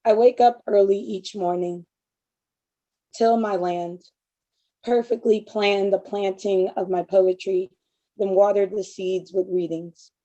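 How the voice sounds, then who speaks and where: A woman with a worn, hoarse voice reads her poem slowly and calmly over a video call. Her tone is dark and mellow, and the sound is a bit dull and lo-fi. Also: mid-range voice. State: voice going hoarse. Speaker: poet. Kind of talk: reading her own poem.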